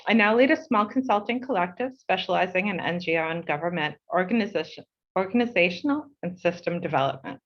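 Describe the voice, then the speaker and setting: A woman's voice: fairly low pitch, animated, dull audio. Heartfelt New woman reading a speech. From United States. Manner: measured and heartfelt, slowing for emphasis. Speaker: board chair. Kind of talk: reading a prepared speech at an online meeting.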